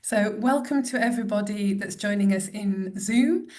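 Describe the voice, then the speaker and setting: A woman's voice: medium pitch, moderate pace, darkish tone. A woman in her thirties hosting an online teaching webinar over a video call, upbeat and apologetic about a late start. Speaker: webinar host.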